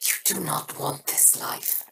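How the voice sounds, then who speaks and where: An actress playing a stern, solemn alien house leader in a video game, speaking in a measured way. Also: female voice, noisy background, close to an everyday voice.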